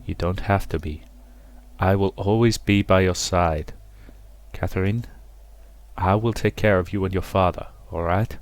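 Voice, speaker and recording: A man voicing a gentle, protective man in a visual novel, speaking softly and coaxingly to console someone. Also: low-pitched, fairly even intonation, no room sound. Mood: tender, reassuring. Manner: soft, slow, coaxing. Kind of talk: dramatic reading of visual novel dialogue.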